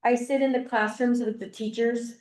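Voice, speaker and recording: A woman's voice: a little high, fairly even intonation, normal speed, darkish tone. An older woman, a grandmother, giving an earnest, rambling public comment at a school board meeting, often repeating herself. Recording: echoey meeting room.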